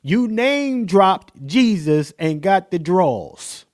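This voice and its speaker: A man hosts a podcast and riffs on a clip in loose, joking slang, laughing often. His voice sits a bit high, and he takes his time getting words out. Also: expressive, extremely slow, a little mellow. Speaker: podcast host reacting to a clip. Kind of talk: casual reaction commentary.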